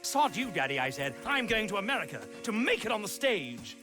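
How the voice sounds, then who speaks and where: A man's voice: high voice, big pitch swings, fairly quick, music in the background. A male actor playing a flamboyant British stage animal-tamer showman in drag, theatrical and grandiloquent, in a video game cutscene. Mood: despairing, indignant.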